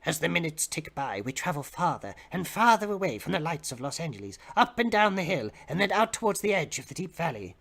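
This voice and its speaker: A man giving a theatrical, expressive dramatic reading of fiction as the narrator. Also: on the high side, fairly quick, creaky, hardly any pauses. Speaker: dramatic reader.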